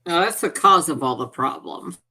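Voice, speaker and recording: Tabletop player, bright and crisp-edged tone, mid register, informal banter. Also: medium pitch, animated, moderate pace. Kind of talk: casual group chat during a game.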